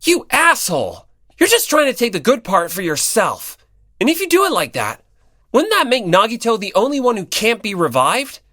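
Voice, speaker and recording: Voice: mid-range voice, huge pitch swings, moderate pace, a bit bright, no echo, clean studio sound, voice pushed up. A performer voicing an earnest, easily flustered, hot-headed teenage boy in an audiobook, with blustering, emphatic delivery. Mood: indignant, argumentative. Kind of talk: dramatic audiobook character dialogue.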